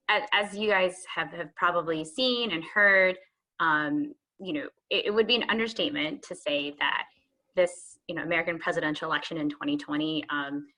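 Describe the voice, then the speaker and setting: A woman hosting a panel on a video call, her voice low yet bright and forceful. She speaks upbeat and fills her sentences with ums and you-knows. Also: rough recording. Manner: many fillers, upbeat. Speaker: host. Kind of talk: moderating a panel discussion.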